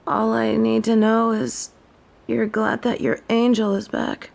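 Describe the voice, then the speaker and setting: A woman playing a haughty, imperious yet softening fallen angel in a scripted audio roleplay, speaking in formal, theatrical phrases. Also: a little high, flat delivery, measured pace, vocal fry. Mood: proud, softening.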